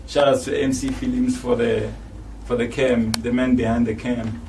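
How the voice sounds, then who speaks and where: A man's voice: medium pitch, little pitch movement, normal speed, a little mellow. A man with a South African accent hosting a casual interview in a laid-back, slangy way. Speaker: host. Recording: distant mic with faint noise.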